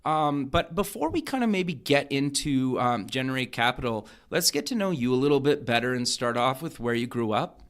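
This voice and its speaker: A man's voice: medium pitch, lively intonation, normal speed. A man hosting an interview podcast, asking questions hesitantly with many "um"s and "kind of"s. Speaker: podcast host. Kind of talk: interview host.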